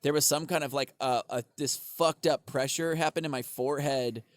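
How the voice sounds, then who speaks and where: A man's voice: mid-range voice, fairly even intonation, moderate pace, no room sound. A man co-hosting a comedy podcast, telling rambling stories full of swearing and frequent laughter. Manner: rambling, profane, laughs often. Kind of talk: casual comedic chat.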